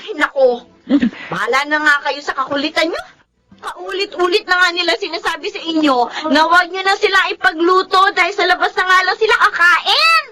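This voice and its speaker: An actress playing a fussy, caring housemaid who is scolding but respectful, in a radio drama. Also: female voice, very high voice, pushed and bright, heavily acted. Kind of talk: Tagalog radio drama acting.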